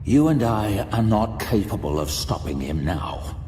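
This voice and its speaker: An actor playing a grim, weary but resolute human archmage in a fantasy audio drama, terse and earnest. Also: male voice, on the low side, sing-song, measured pace, dark tone, a little hiss or hum. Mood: grim resolve. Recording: echoing, reverberant cavern-like sound.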